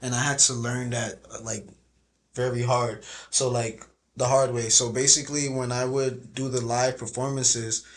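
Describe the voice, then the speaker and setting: A young man talking casually as a podcast guest, with a soft voice and a relaxed, rambling way of speaking full of fillers. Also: mid-range voice, moderate pace.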